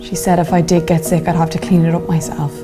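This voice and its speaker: An actress playing a frightened seventeen-year-old girl in a dramatised testimony, subdued and plain in short halting sentences. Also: fairly low pitch, level tone, lightly acted. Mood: subdued, hurt. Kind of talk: dramatised first-person testimony. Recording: music behind the voice.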